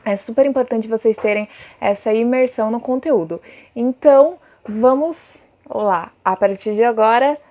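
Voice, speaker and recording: Brazilian lady teacher, highish voice, chatty explaining to her students. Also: normal speed, mellow. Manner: addresses pupils directly, rhetorical questions. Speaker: schoolteacher. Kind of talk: informal recorded lesson, with reading aloud from textbook.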